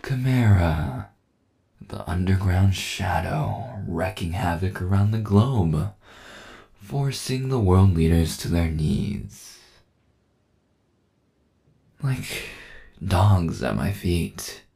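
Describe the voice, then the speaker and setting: Man acting a gravelly, low-voiced, menacing villain. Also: dark tone, heavily acted. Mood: boastful menace. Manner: taunting, slow menace, chuckling condescension. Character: menacing, smug cyborg villain, dominant male kidnapper. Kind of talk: ASMR boyfriend audio roleplay.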